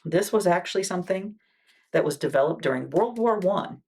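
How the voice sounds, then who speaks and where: A woman playing a wound care nurse in an ASMR roleplay, speaking softly and patiently, explaining each step and sometimes dropping to near-whispers. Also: on the low side, expressive, fairly quick, slightly rough. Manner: soft-spoken, patient explanations with frequent "okay"s; drops to near-whispers between lines.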